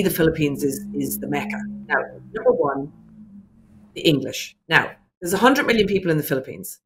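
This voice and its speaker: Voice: mid-range voice, a bit bright, slight background noise. A business owner answering interview questions earnestly and reflectively, working through ideas point by point.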